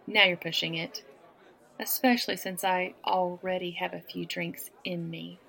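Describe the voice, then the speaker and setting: A woman's voice: lowish voice, steady tone, normal speed, gravelly, pushed and bright, low-quality recording. A woman from the American South with a Southern accent, teasing and flirtatious in a one-sided audio roleplay, laughing often. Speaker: woman flirting with a stranger at a bar.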